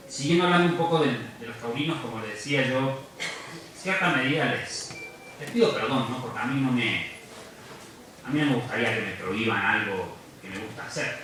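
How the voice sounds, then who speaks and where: A man's voice: medium pitch, sharp tone, distant mic, a little hiss or hum. A man from Argentina giving a public lecture, speaking earnestly and reflectively, often restarting his phrases. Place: large university lecture hall. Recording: very echoey hall, voice off-mic.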